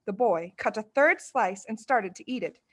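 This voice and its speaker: A woman reading a children's story aloud as expressive scripted narration. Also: medium pitch, normal speed. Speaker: storybook reader.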